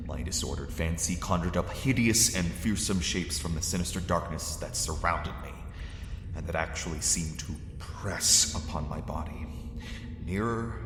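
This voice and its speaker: A man's voice: deep voice, fairly bright tone. A man acting a terrified lost explorer, narrating his ordeal in formal, theatrical gothic horror style. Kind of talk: dramatic first-person gothic horror narration. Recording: echoey cavern-like reverb, faint noise.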